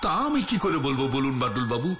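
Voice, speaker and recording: A man's voice: mid-range voice, very animated, quick pace, close to an everyday voice. A man voicing a distressed, dignified village landlord in a cartoon, pleading and dramatic. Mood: earnest. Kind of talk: cartoon voice acting in Bengali. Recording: roomy echo, music underneath.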